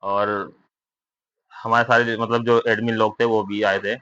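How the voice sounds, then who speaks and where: A man's voice: fairly low pitch, little pitch movement, measured pace, fairly bright tone, smooth, no echo. A young man giving viewers an informal spoken update, conversational and often checking for agreement with tag questions. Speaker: vlogger giving recruitment updates. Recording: dull lo-fi recording.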